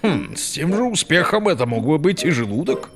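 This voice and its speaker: High-voiced grumbling old cartoon creature. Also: male voice, very animated, fairly quick. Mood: mocking. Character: grumbling, mocking older male cartoon creature. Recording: music behind the voice.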